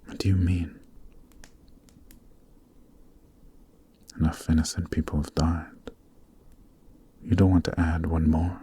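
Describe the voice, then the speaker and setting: A man playing a weary, noble Demon King in a fantasy ASMR roleplay, speaking softly and solemnly with a breathy voice. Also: flat delivery, slight background noise. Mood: bewildered, pleading. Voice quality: very deep, soft, breathy, half-whispered. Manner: slow, solemn, earnest, formal phrasing. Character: weary, noble adult male Demon King, sorrowful and gentle. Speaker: voice actor.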